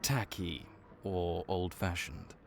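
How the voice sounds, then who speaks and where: A man's voice: low-pitched, fairly even intonation, slow, creaky, a little hiss or hum, heavily acted. A man voicing a laid-back vampire clerk and mentor in an audio roleplay, dry and wry as he speaks to the listener. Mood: easygoing.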